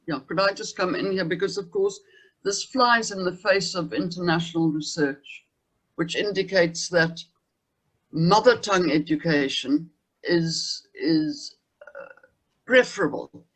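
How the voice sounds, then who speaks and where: A South African man speaks on a discussion panel in a fairly high voice. His delivery is formal and measured, halting as he builds each point. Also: a bit bright, rough recording.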